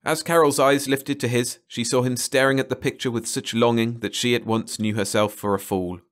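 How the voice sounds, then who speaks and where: A man's voice: medium pitch, steady tone, normal speed. Man narrating an audiobook story. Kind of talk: scripted story narration, bedtime read-along.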